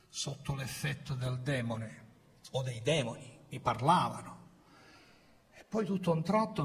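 A bright, forceful male preacher in his fifties, speaking slowly into a pulpit microphone in a church hall. He swings from loud exclamations down to hushed storytelling, and a faint hiss of ambient noise sits under the voice. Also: mid-range voice. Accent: Italian. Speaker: pastor. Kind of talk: sermon. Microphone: pulpit microphone on a stand.

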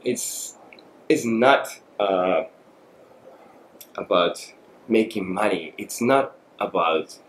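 A man in his forties from Japan with a Japanese accent, a guest speaking thoughtfully and haltingly in a podcast interview, with many fillers. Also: low voice, animated. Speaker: guest photographer. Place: small studio room. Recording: echoey room, faint noise.

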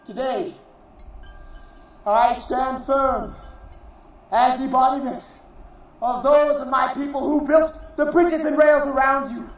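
A man performing a theatrical monologue as a proud, defiant warrior, solemn and declamatory, in deliberate phrases. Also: very high voice, fairly even intonation. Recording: distant, very echoey, muffled recording.

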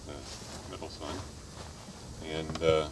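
A middle-aged man speaking at his father's memorial outdoors, plainspoken and halting, with many fillers, into a handheld mic. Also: very deep voice, flat delivery, gravelly. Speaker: son giving a eulogy. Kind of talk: eulogy. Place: outdoors at a waterside memorial gathering. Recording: distant outdoor recording with background noise.

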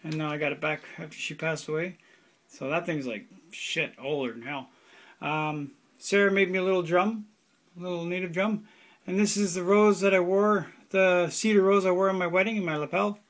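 A man's voice: high-pitched, steady tone, normal speed, a bit bright. A man in his forties giving a rambling, offhand tour of his cluttered home workshop, with lots of fillers and the odd swear word, filmed on a handheld phone. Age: 40s. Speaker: hobbyist vlogger. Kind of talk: casual walkthrough of his workshop. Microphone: handheld phone camera.

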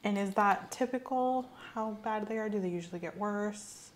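A young adult woman speaking softly and reassuringly as she questions a patient in an ASMR medical roleplay. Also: medium pitch, normal speed. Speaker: host playing a nurse in a roleplay. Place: small indoor room set up as an exam room.

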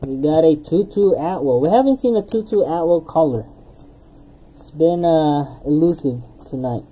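A woman's voice: low voice, animated, moderate pace, warm tone, breathy edge, rough recording. A woman hosting a live card break, calling out player names as she opens packs, laughing at herself and losing her voice. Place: indoor streaming desk with card boxes.

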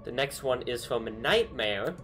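A man's voice: medium pitch, animated, unhurried, fairly bright tone, soft background music. A young man chatting casually from his bedroom, thinking aloud with lots of fillers. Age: young adult. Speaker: YouTuber analyzing game dialogue. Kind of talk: casual commentary. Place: small bedroom with string lights.

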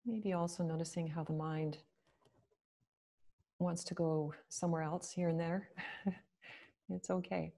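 A woman leading a guided meditation, speaking slowly and gently with long pauses. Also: fairly low pitch, fairly even intonation.

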